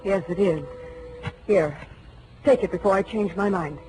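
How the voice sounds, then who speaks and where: A woman's voice: mid-range voice, measured pace, darkish tone, soft background music, natural voice. An actress playing an emotional, lovestruck woman with a secret, in melodramatic 1940s radio style. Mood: anxious, resolute. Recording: slightly noisy old radio recording.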